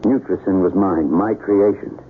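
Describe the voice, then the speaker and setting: A man in his forties playing an anguished, guilt-ridden chemist in a radio drama, speaking in an agitated, halting, self-reproaching way. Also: deep voice. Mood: bitter remorse. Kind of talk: 1970s radio drama acting. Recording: tinny, band-limited, noisy old radio recording.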